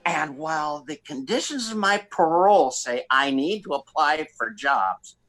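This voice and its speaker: A man's voice: fairly high pitch, lively intonation, unhurried, fairly bright tone. A man playing a boozy, slovenly, wisecracking space pilot in a comic radio play, stammering and slurring his words. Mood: flippant. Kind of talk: comic old-time-radio-style acting.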